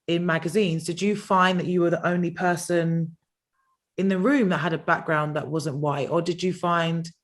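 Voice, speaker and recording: A woman's voice: on the low side, steady tone, moderate pace. Woman host asking winding questions. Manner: asks long, winding questions. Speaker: panel host. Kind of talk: panel discussion.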